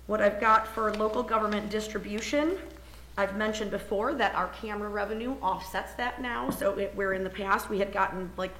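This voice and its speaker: A woman with a hoarse, scratchy voice presenting a budget report to a council, chatty and informal, thinking aloud as she goes. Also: mid-range voice, fairly bright tone, roomy sound. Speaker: municipal finance officer presenting the budget. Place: council meeting room.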